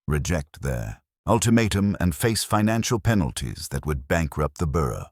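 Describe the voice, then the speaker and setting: Man reading as a careful, deep-voiced small-town mayor. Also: big pitch swings, moderate pace, no room sound, studio quality, full character voice. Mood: defensive, weary. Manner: formal, careful diplomatic phrasing.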